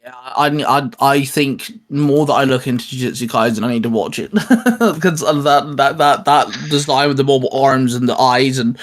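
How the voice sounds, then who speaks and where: A man's voice: medium pitch, moderate pace. Man, mid-range voice, casual chat, enthusiastic, geeky show co-host. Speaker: co-host of a geek culture discussion show.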